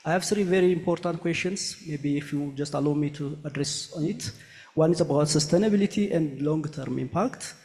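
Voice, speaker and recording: A hesitant man from Ethiopia asks questions from the audience floor. His voice is gravelly and a bit high for a man. The hall adds a long, trailing reverb. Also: fairly quick, warm tone. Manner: hesitant, with fillers. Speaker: audience member, professor. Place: large conference hall.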